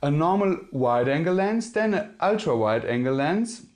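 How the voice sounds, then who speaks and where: A man's voice: a little high, very animated, moderate pace, a little mellow. Nervous German man reviewing phones. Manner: nervous, self-correcting, friendly. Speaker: tech reviewer.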